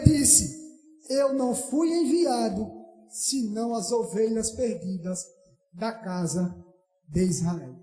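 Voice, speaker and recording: A Brazilian man preaches with fervor in a strikingly high voice. He is emphatic and likes to repeat his phrases. The sound rings through a very echoey church hall with long reverb. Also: mellow. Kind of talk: impassioned Pentecostal evangelistic sermon. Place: church hall during evening service.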